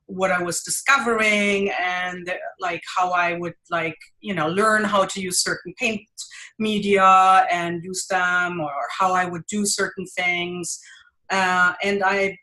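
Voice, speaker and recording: A woman's voice: on the low side, little pitch movement, slow pace, sharp tone, poor audio. A woman in her forties from Germany with a German accent, chatting as a podcast guest, laughing often and a little nervous. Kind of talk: casual interview chat.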